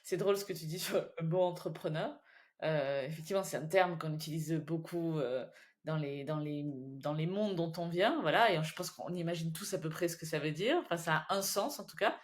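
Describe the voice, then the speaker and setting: A woman hosting a podcast in relaxed, conversational chat. Her voice sits a little low for a woman, and she often answers her guest with quick agreeing replies between longer thoughts of her own. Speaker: podcast host. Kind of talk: casual chat.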